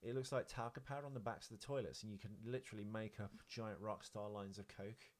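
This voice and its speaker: A man's voice: fairly low pitch, fairly even intonation, fast. A man co-hosting a podcast, chatting casually about films and celebrities in a rambling, sweary way. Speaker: podcast co-host.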